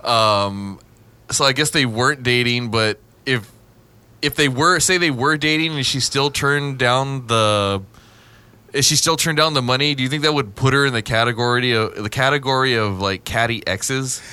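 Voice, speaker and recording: Bright sharp-toned cohost, always laughing, keeps restarting what they say. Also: mid-range voice, moderate pace, smooth. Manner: laughs constantly, restarts sentences. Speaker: podcast co-host. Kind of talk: casual chat.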